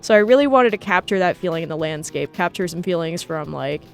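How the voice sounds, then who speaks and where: A woman's voice: fairly low pitch, lively intonation, brisk, gravelly. Enthusiastic young American woman over music. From New Jersey, United States. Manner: enthusiastic, chatty. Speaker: artist and video host. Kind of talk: casual art commentary over music. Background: background music.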